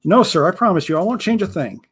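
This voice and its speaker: A man's voice: sits high, animated, fast talker, fairly bright tone, breathy edge, voice pushed up, full character voice. A man voicing a polite, nervous young male writer who stammers a little. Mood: earnest, nervous.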